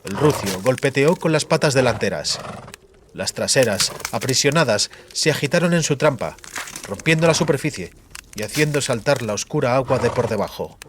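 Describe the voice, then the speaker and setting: Man, audiobook narrator, mid-pitched, animated intonation, dramatic storytelling. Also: moderate pace, noisy background.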